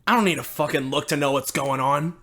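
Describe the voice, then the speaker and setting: A man's voice: on the high side, brisk, bright, forceful tone, pitched below the performer's own voice. A man voicing a hot-tempered female cyborg fighter in a tabletop game, curt and abrasive. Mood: scornful.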